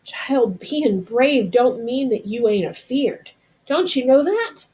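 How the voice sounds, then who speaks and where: A woman's voice: fairly high pitch, expressive, slow, a little mellow, voice pushed up. Woman voicing a tender, firm old country woman. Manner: folksy rural dialect, soothing and firm. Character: elderly Southern woman, tender, firm, motherly protector.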